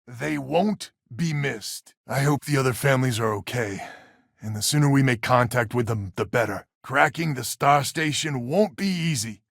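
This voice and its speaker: A man's voice: mid-range voice, moderate pace, creaky, fairly bright tone, dry recording, studio quality. An actor playing a wry, weary male space colonist who heads a settler family in a video game, with a folksy, sardonic manner. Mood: cautious, hopeful.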